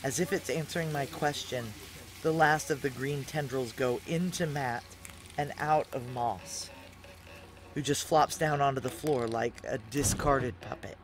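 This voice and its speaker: An actor playing an awestruck protagonist who narrates an audio drama in a hushed, halting way. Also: male voice, mid-range voice, close to an everyday voice, rough recording. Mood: awed, intent. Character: awestruck narrator-protagonist describing an eerie scene. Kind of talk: intimate first-person audio drama narration.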